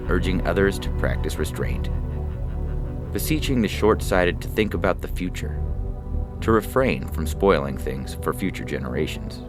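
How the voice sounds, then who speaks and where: A man's voice: sits low, expressive, normal speed. A man reading a scripted first-person science fiction story as narrator. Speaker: story reader. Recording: music bed under the voice. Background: background music.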